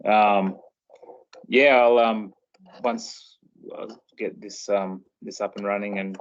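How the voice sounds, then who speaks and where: Laid-back podcast host guy, gravelly low voice, dull lo-fi sound. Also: fairly even intonation, slow. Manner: laid-back, loose and rambling. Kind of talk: casual chat. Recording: dull lo-fi recording.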